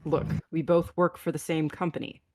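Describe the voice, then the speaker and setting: A man's voice: fairly high pitch, fairly even intonation, moderate pace, gravelly. A man playing a terse, weary spaceship captain in a sci-fi script table read. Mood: suspicious.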